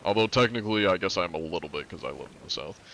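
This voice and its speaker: A man's voice: sits low, animated, fast, creaky, a bit bright. A man in his twenties streaming a game, chatting casually and reading out chat with lots of fillers. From northern United States. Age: 20s. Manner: rambling, filler-heavy, reading chat aloud. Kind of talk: casual live-stream chat while gaming.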